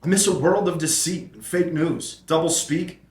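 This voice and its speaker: A man's voice: fairly high pitch, moderate pace, a little mellow, natural voice. A man playing a troubled, self-doubting and anxious character in a screenplay table read. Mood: regretful, frustrated. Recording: roomy echoing table-read recording.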